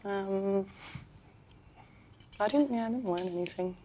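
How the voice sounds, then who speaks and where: A woman's voice: medium pitch, measured pace, warm tone. A woman co-hosting a podcast in casual chat, chesty with a cough and losing her voice, hesitant and trailing off as she repeats herself. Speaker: podcast co-host.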